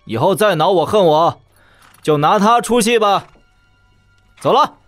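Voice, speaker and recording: An actor playing a roguish young prince's heir in a wuxia audio drama, with a flippant, teasing manner. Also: male voice, high-pitched, lively intonation, a little slow, a bit bright, dry recording, faint music behind. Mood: mocking farewell.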